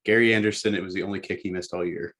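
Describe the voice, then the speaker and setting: Low voiced guy on a sports podcast, matter of fact, just explaining stuff. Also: fairly even intonation, racing, a bit bright. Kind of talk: casual sports chat.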